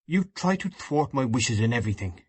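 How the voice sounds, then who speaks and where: A man's voice: mid-range voice, sing-song, fairly quick, a little mellow, slightly rough, no room sound. A man reading a novel aloud, voicing an earnest, idealistic grown son who argues with his mother. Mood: grave. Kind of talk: audiobook dialogue reading.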